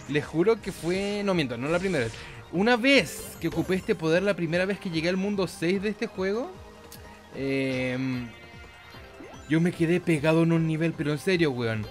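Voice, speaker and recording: A man with a Chilean Spanish accent giving casual, playful gameplay commentary, joking and swearing as he goes. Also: a little high. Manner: playful, joking, sweary, with silly sound effects and singsong. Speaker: gaming YouTuber. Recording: game music behind the voice. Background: video game music.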